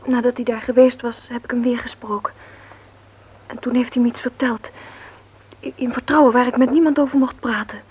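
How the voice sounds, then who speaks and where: A woman's voice: on the high side, fairly even intonation, brisk, a little hiss or hum, close to an everyday voice. An actress playing a troubled, earnest young woman in a Dutch radio drama, speaking breathily, politely and hesitantly. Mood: troubled, confiding. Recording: dull, lo-fi old radio recording.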